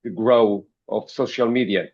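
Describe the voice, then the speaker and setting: A man from Portugal with a Portuguese accent, giving interview answers as a podcast guest in a halting, hesitant way, often repeating words. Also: lowish voice, a little mellow, breathy edge, highs cut off. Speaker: podcast guest, company founder.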